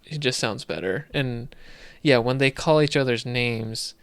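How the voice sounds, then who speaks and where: A man's voice: medium pitch, a little slow, smooth, dry recording. A man co-hosting a podcast in casual chat, thinking aloud as he talks. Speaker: podcast co-host.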